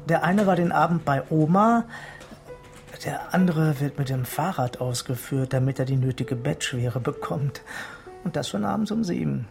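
An actor playing a pedantic, chatty amateur sleuth in a radio crime drama, reporting in a matter-of-fact way. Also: male voice, a little high, expressive, moderate pace, vocal fry. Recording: faint music behind the voice.

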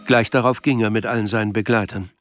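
A man's voice: on the low side, fairly even intonation, fast talker, a bit bright. Male radio drama narrator over music. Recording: music behind the voice.

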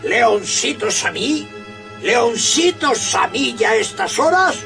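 A deluded, hot-headed old knight-errant in a radio play. His voice is piercingly high and creaky, with grand, archaic declamation that swings widely. Faint music sits behind him. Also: very animated, takes it slowly, recorded from a distance, heavily acted. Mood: furious, defiant. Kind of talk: radio drama acting.

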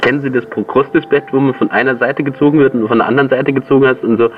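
A man's voice: medium pitch, steady tone, very fast, recorded from a distance, phone-quality sound. A man working as an interviewer, talking casually in a hesitant, conciliatory way. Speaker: interviewer, journalist. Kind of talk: casual conversation. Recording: slightly noisy, band-limited field recording.

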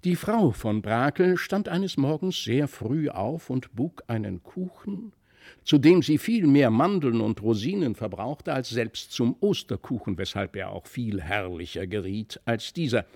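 A male narrator with a mid-pitched voice reads a literary fairy tale. His storytelling is expressive and carefully phrased, clearly read from a written text. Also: a little slow. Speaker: narrator, audiobook reader.

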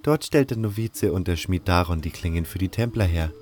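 A man's voice: deep voice, animated, fairly quick, darkish tone, soft background music. A man plays an easygoing cult novice in a fantasy audio drama. He speaks as a helpful guide, friendly and explanatory. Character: helpful, easygoing male novice guiding a newcomer.

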